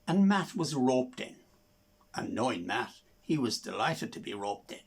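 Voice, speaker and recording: An older Irish man with a husky, creaky voice presents poems and performers. He speaks slowly and deliberately, as if reading from prepared notes, at a measured pace. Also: medium pitch, sing-song. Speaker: host introducing songs and reading his own poem. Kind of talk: poetry reading and scripted introductions.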